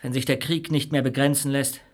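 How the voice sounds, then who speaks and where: An actor playing a proud older conductor in a radio play, speaking in a lofty, earnest, declaiming way. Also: male voice, mid-range voice, little pitch movement, brisk, lightly acted.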